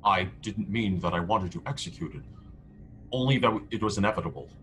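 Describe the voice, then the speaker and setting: A man acts out a proud, stubborn starship crewman in a science-fiction radio play. He declaims defiantly in a fairly low voice. The sound is a video call with faint background noise. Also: lively intonation, a little fast, a bit bright. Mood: guarded. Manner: declamatory, speaks of himself in third person. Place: indoor room at home. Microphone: headset on a video call.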